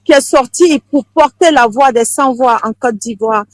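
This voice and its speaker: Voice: high voice, normal speed, no echo. An interviewer introducing speakers emphatically at a live protest. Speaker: street interviewer for an online channel.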